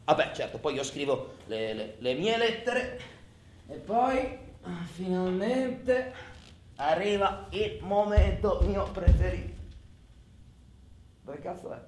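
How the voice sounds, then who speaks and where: A wistful, obsessive prisoner in a theatrical radio monologue. The voice sits fairly high for a man and speaks haltingly, in colloquial, repetitive phrases. It rings in an echoey room with clear background noise. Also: big pitch swings, full character voice. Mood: animated, eager. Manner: colloquial, halting, repeats himself, talks as if to a listener. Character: imprisoned adult man, restless, wistful, obsessive, foul-mouthed.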